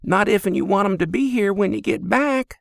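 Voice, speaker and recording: A woman's voice: medium pitch, a little fast, vocal fry, no echo, clean studio sound, voice pushed up, natural voice. A man voicing a young, blunt stable girl with a clipped country drawl. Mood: plainspoken.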